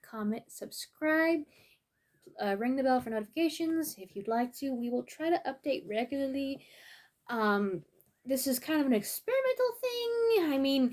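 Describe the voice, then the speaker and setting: A woman's voice: a little high, darkish tone. A woman hosting a casual read-aloud storytime, making wry, slightly hesitant and apologetic asides. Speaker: storyteller and video host.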